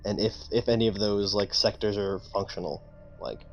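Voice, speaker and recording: A man talking casually as a tabletop roleplaying player, haltingly thinking aloud about his choices. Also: on the low side, steady tone, soft background music. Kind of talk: casual in-game discussion.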